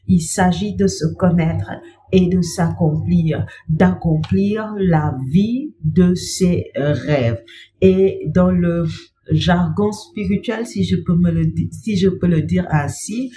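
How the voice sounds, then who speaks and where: Slow-speaking woman with a cold. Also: on the low side, slight background noise, poor audio. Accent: French. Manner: slow, gentle, repeats "bien-aimé" and pauses with "euh". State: has a cold. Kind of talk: devotional talk to camera.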